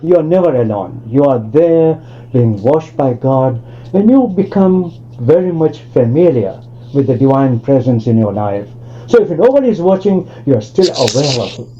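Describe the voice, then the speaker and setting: A man's voice: mid-range voice, dark tone, a little hiss or hum. An older man from Tanzania giving an academic lecture over a video call, speaking discursively with many asides and restarts. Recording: lo-fi online call audio.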